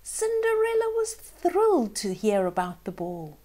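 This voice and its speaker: Casting: female children's storyteller, unhurried and soft-toned, expressive, dropping into hushed moments. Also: high-pitched. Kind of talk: storybook read-aloud with asides to young listeners.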